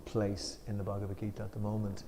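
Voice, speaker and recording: A man with an Irish accent leading a scripture discussion, reading a commentary aloud with emphasis and then explaining it in a relaxed way, with some fillers. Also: lowish voice, quick pace, slight background noise.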